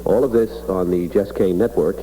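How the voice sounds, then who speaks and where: A man's voice: deep voice, fairly even intonation, moderate pace, background noise. A man hosting on radio, making a formal on-air introduction in an old recording. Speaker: radio host. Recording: reverberant, faintly humming old radio recording.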